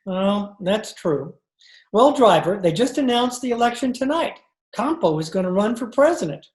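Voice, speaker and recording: A woman's voice: mid-range voice, expressive, moderate pace, darkish tone, echoey room, natural voice. A woman playing a wry male adventurer and con man in an old-time radio drama, recorded over a video call. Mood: puzzled.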